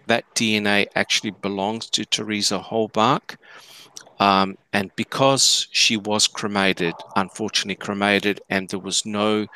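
Low, bright-voiced man explaining point by point. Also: clear, resonant tone, poor audio. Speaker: panelist answering listener questions. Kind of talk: explanatory live discussion.

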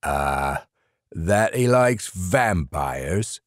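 A grumpy old stone gargoyle, as a man voices him, gruff and dismissive, bragging about his kind. His voice is very low and slow, and the recording is dry. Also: lively intonation, no room sound, exaggerated voice.

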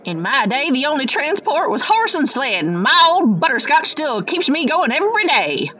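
A woman's voice: high voice, sing-song, normal speed, pushed and bright, a faint crowd, full character voice. A woman playing a quirky, ancient, folksy small-town aunt in a parody radio play. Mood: folksy, indignant.

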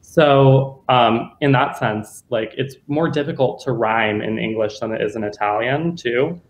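A man's voice: mid-range voice, measured pace, reverberant, rough recording. A young man, a guest curator, chatting casually about his exhibition on a video call from home. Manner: casual, chatty with many fillers. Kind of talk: answering questions after a lecture. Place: home room.

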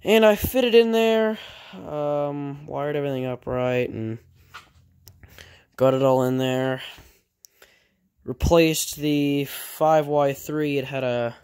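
Guy doing a casual workshop vlog, kinda halting, thinks out loud and corrects himself as he goes. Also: fairly high pitch, clean tone. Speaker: hobbyist radio repairer. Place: indoor workshop at a cluttered bench.

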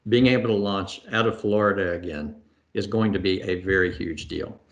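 A middle-aged man speaks over a video call from a book-lined room at home, his voice sitting a little low. He answers thoughtfully, pausing with fillers and circling back to restart his phrases. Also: dull audio. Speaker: astronaut guest. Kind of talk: conversational Q&A answers. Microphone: video call webcam.

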